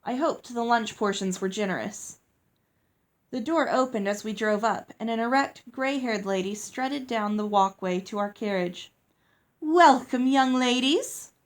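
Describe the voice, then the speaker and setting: A woman's voice: mid-range voice, normal speed. A woman reading a story aloud as narrator. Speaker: audiobook reader.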